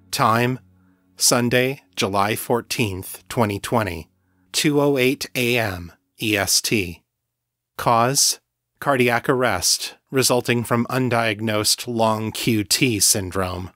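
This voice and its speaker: Guy reading a short story, lowish voice, wry and measured, really dry close sound. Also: fairly bright tone, dry recording. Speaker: audiobook narrator. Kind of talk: scripted first-person fiction narration.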